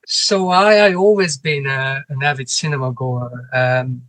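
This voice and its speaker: A man with a Hungarian accent talks through his answers at a middle pitch. He speaks slowly and carefully, restarting phrases as he works out the English. The sound is close and dry, with no room echo. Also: fairly even intonation, a bit bright. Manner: halting, restarts phrases, non-native grammar. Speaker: cinema manager, guest. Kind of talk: podcast interview answers.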